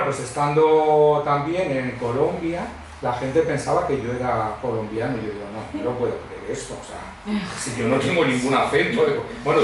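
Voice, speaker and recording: Middle aged or older guy giving testimony at church, chatty n expressive, super echoey, distant mic, bit of noise. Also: medium pitch. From Madrid, Spain. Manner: conversational, digressive, expressive. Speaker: guest preacher giving testimony. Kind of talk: personal testimony with humorous anecdotes to a congregation. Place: church hall before a congregation.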